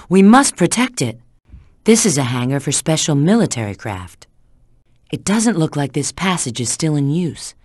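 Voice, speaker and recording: A woman's voice: low-pitched, dry recording, studio quality, heavily acted. An actress playing a sly, confident female spy in a video game, giving scripted hints in a cool, assured, instructive way. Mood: stern, cautioning.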